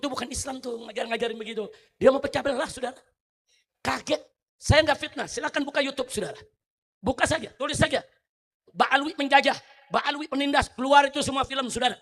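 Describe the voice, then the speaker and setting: A man from Indonesia delivers a heated sermon in a very high, bright and forceful voice. He keeps asking the crowd questions and addressing them directly. His delivery lurches from hushed, low lines to full shouting. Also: fairly quick, long pauses. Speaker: preacher. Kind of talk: fiery religious sermon.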